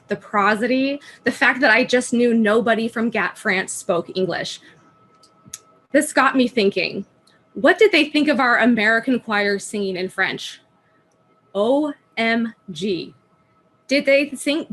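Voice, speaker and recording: A woman's voice: on the high side, fairly bright tone. Woman reading a prepared conference talk. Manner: storytelling with rhetorical questions. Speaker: student conference presenter. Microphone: video call microphone.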